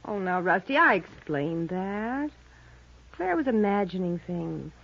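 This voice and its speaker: An actress playing a defiant, evasive hard-boiled girlfriend in a 1950s radio crime drama, sharp and indignant. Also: lowish voice, sing-song, measured pace, creaky, full character voice. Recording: dull 1950s radio recording.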